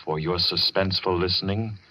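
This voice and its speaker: An American man narrating a 1940s radio suspense show as its announcer, with a dramatic, deliberate delivery. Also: sits low, fairly even intonation, slight background noise. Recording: muffled vintage radio with loud music. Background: loud dramatic music.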